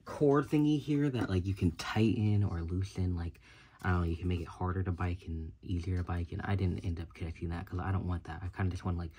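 Low-voiced rambling young man vlogging. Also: mellow. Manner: rambling, loose and cursing, with many fillers. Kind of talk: casual chat. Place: small home gym and room with colored lights, indoors. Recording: clicks and clear room noise.

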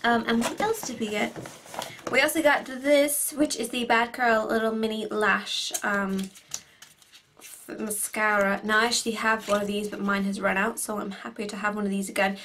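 A bubbly Irish woman in her twenties gushes through a casual haul video, piling on fillers and excited asides. Her voice is a little croaky today. She talks to a camera on the desk in her bedroom. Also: mid-range voice, moderate pace, a little hiss or hum. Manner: bubbly, gushing, chatty with many fillers. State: a bit croaky. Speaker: beauty vlogger. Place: bedroom at home.

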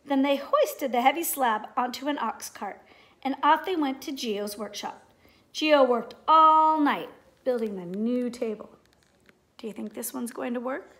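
A middle-aged woman reading a children's storybook aloud in an expressive, deliberate way. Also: sits high. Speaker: storybook reader. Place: indoors, living room at home.

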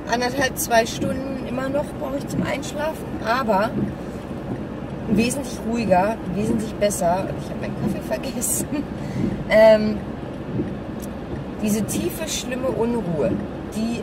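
Woman vlogging over loud car noise. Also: a little high, measured pace, long pauses. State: slightly hoarse. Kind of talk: casual chat while driving. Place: inside a small car while driving. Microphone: camera or phone mounted on dashboard. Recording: distant mic, loud car road noise. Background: car engine and traffic noise.

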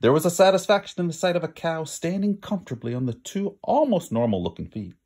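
A man reads a picture book aloud with lots of drama. His pitch moves around freely over a creaky, slightly rough voice, and he lingers on key words for emphasis. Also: big pitch swings, a little mellow. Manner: theatrical, drawn-out emphasis. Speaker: storybook reader.

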